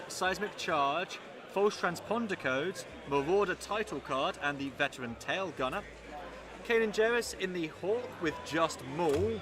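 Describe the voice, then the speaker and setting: A British man with a noticeably high voice chips in as co-commentator, reading out lists and trading dry jokes. Busy crowd chatter fills the space behind him. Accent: British English. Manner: dry, teasing humour. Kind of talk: live game commentary. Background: crowd chatter of a tournament hall.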